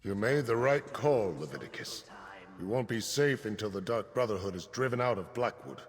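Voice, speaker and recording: An actor plays a stern male official in a fantasy video game. His voice is fairly low and rings in a long hall-like reverb. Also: animated, normal speed, lightly acted. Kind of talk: video game background dialogue.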